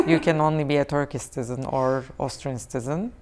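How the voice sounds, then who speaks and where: Deep-voiced woman with dry jokes. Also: very animated, normal speed, warm tone, a little hiss or hum. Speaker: guest. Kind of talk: panel discussion.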